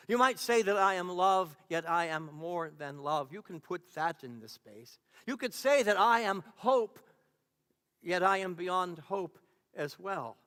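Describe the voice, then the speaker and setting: A man voicing a calm, comforting divine spirit, faint and solemn, in a spoken spiritual story. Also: smooth, heavily acted. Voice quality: hushed, low, faint. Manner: slow, solemn, measured. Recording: echoey hall with long reverb.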